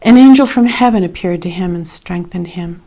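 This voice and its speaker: A woman reading a scripted Bible story in a measured, dramatic way with expressive emphasis. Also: on the low side. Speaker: reader of scripture.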